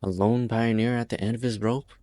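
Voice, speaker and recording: A man's voice: mid-range voice, steady tone, fairly quick, dark tone, no room sound, soft background music, lightly acted. A man voicing a desperate pioneer leader in an amateur fan dub, blunt and folksy. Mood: weary. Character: desperate male pioneer leader, rough and plainspoken.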